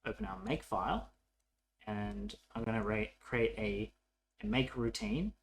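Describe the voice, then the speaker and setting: A man's voice: on the low side, creaky. A man narrating a casual coding tutorial as an instructor, informal and thinking aloud as he works.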